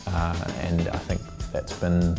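Young man, very low voice, reflective, lapel mic, faint music underneath. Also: completely flat delivery, slow pace, creaky. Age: young adult. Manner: reflective, with frequent restarts and filler "uh". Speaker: designer, studio founder. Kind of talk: documentary interview. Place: large indoor design workshop.

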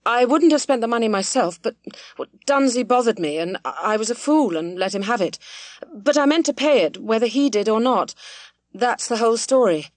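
Woman doing a young gentleman, hesitant, stammering, pleading, dry close sound. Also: fairly high pitch, expressive, normal speed, no echo, voice pushed up, lightly acted.

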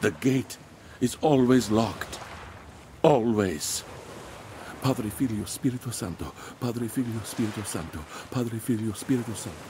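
A man playing a superstitious, fearful older father in an audio drama, with a soft voice. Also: lowish voice, a little slow, background noise, close to an everyday voice. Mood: grave, fearful.

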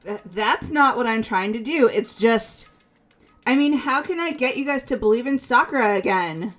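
A woman acts a hot-headed, emotional teenage girl in a video game. Her voice is on the high side and very animated in pitch. Background music plays behind her. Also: normal speed, natural voice. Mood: pleading. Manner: emotional, impulsive. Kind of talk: amateur video game voice-over.

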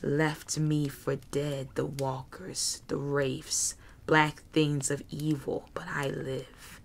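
A woman voicing a bitter, vengeful male reptilian creature with a rasping, hissing voice and slow, broken speech in an audiobook. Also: low-pitched, level tone, heavily acted. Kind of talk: audiobook character voice.

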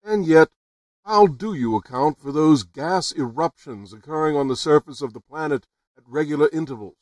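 A man's voice: on the high side, animated, moderate pace, a little mellow, dry recording, far from the mic, natural voice. A man playing an earnest radio news reporter in a formal, announcing manner, in the style of a 1930s radio drama. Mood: probing. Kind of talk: 1930s radio drama acting, live broadcast commentary.

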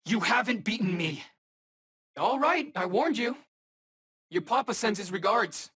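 A cocky teenage male superhero from a fighting game. His delivery swings widely in pitch, with a husky edge to the voice. He tosses out slangy wisecracks at an unhurried pace. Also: expressive, sharp tone, heavily acted. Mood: cocky, teasing. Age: young adult. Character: cocky, wisecracking eighteen-year-old male armored superhero. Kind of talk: video game voice acting, short battle quips.

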